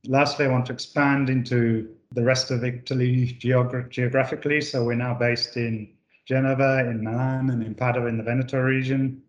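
A man's voice: medium pitch, little pitch movement, moderate pace, reverberant, muffled recording. A man from the UK, a managing director answering interview questions with many fillers and restarts. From United Kingdom. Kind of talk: corporate podcast interview.

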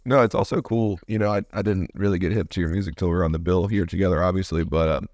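A man hosting a podcast, a musician with a baritone voice, talking in a relaxed, frank way. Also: quick pace, no room sound, studio quality. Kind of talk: casual podcast conversation.

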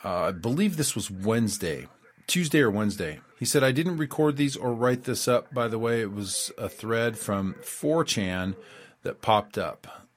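A man's voice: medium pitch, animated, normal speed. A man hosting a radio show, talking casually and rambling, reading out quotes with frequent fillers and restarts. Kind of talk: casual spoken commentary.

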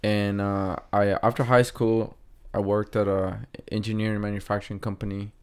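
A man's voice: lowish voice, level tone, moderate pace, a little mellow, clear, resonant tone. A man in his thirties from Mexico with a Mexican accent, a guest artist talking hesitantly in a casual interview, with many fillers and restarts.

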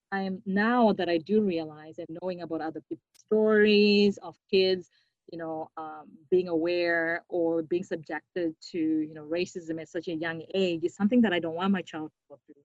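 A young woman from Zimbabwe, a podcast guest, speaking earnestly and reflectively, now and then with a soft laugh. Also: lowish voice, normal speed, no room sound, highs cut off. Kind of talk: conversational interview.

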